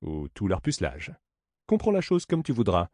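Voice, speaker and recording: A man's voice: on the low side, wildly swooping pitch, normal speed, darkish tone, no room sound, studio quality. A man voicing a swaggering, crude servant in a stage play who brags and blusters, spoiling for a fight. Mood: crude bragging.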